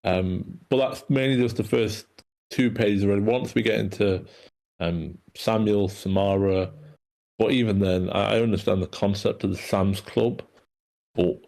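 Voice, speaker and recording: A man giving informal, halting script critique in an online meeting. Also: on the low side, fairly even intonation, creaky, a little mellow. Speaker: script reader giving feedback.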